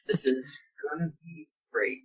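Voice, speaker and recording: Man, very husky breathy voice, slow pace, hugely varied pitch, dramatic read-along. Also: fairly bright tone. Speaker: co-host reading fan fiction aloud. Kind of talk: casual read-along with dramatic line readings.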